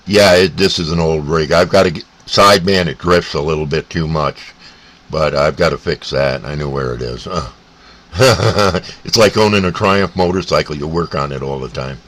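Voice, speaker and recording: A man chatting casually as a CB radio operator, halting and repeating radio jargon. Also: a bass voice. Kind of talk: casual radio contact chat. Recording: steady radio static and hiss.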